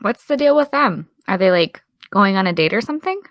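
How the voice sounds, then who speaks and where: A woman's voice: mid-range voice, lively intonation, fairly quick, no echo, dull audio, lightly acted. A young actress playing an exasperated, defensive young woman with dry comebacks in an audio drama. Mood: suspicious. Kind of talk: audio drama dialogue of a video call.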